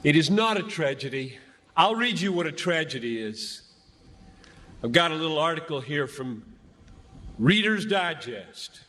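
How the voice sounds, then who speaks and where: A man preaches in a high, bright, forceful voice. He speaks slowly and emphatically, pleading and repeating phrases for dramatic weight. Long reverb from a large hall surrounds his words. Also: sing-song. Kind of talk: impassioned sermon to a large gathering.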